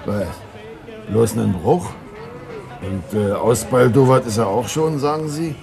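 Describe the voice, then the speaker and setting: An actor playing a rough, cocky ex-boxer crook in a German radio crime play, blunt and slangy. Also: male voice, medium pitch, unhurried, music in the background. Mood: blunt, doubtful. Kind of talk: 1950s to 80s German radio crime drama acting.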